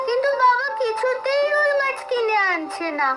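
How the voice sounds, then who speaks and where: A woman playing a sweet, plaintive little village girl in an animated folk tale. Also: extremely high pitch, normal speed. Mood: wistful. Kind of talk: Bengali cartoon dialogue.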